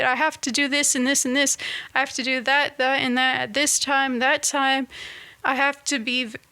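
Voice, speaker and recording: A woman in her twenties talking to camera in a casual, reflective monologue, halting and restarting as she searches for words. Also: high voice, sharp tone, clean tone, no room sound. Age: 20s. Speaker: vlogger.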